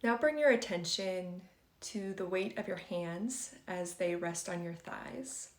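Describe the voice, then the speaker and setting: A woman leading a guided meditation for beginners in a gentle, conversational and reassuring way. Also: lowish voice, animated, takes it slowly. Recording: roomy, echoing sound.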